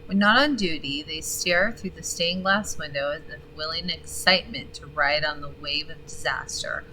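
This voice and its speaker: Gravelly woman streamer, bright lower voice, rambling and swearing over a faint hiss. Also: normal speed, poor audio. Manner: chatty, swears freely, reads game text aloud. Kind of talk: casual gaming stream commentary.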